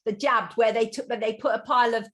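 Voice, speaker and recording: Woman, fairly high voice, host, brisk, emphatic. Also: steady tone, a bit bright. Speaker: show host. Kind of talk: interview chat.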